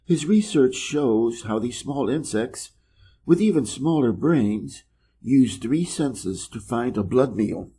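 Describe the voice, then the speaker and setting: A man from Wisconsin with an American Midwest accent, reading an article slowly and carefully for English learners. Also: medium pitch, expressive, mellow, a little hiss or hum. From Wisconsin, USA. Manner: measured and deliberate, clearly pronounced. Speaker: reader of an English learning channel. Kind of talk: slow, careful reading aloud.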